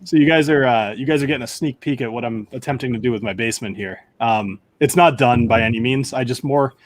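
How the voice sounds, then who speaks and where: A man hosting a casual livestream chat from his home basement over a video call, with a loud voice and frequent laughter. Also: medium pitch, fast talker. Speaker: livestream host.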